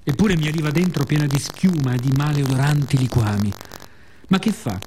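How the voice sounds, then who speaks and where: A man playing the sea as a weary, bitter yet tender narrator in a theatrical radio monologue with deliberate repetitions. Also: a little high, lively intonation, darkish tone, lightly acted. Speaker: actor performing own monologue. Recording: clear background noise and ambience.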